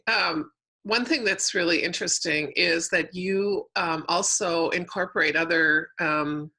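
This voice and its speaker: A woman hosting an interview, speaking conversationally in a halting, loosely phrased way. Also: fairly low pitch, bright, forceful tone, rough recording. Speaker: interviewer and host.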